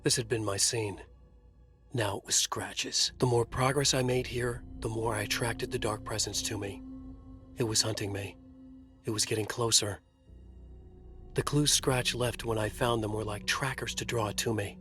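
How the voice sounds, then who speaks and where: A man's voice: on the low side, fairly even intonation, moderate pace, fairly bright tone, soft background music, natural voice. A man playing a haunted writer in a video game, narrating his nightmare in terse, brooding, dramatic lines. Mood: uneasy, brooding. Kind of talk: scripted noir-style game narration.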